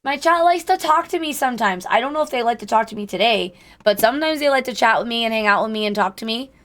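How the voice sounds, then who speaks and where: Hoarse woman in a put-on Southern accent. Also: a little high, lively intonation, fast talker, a bit bright. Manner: chatty, greets and thanks chat by name. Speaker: livestreamer. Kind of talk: casual livestream chat with viewers.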